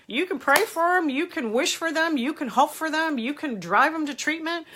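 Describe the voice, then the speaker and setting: A woman's voice: high voice, lively intonation, moderate pace, sharp tone, slight background noise. A middle-aged woman with a cold and a stuffy voice, earnestly giving advice on a live stream and answering viewers' comments. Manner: earnest, emphatic, reads comments aloud. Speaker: host answering viewer questions.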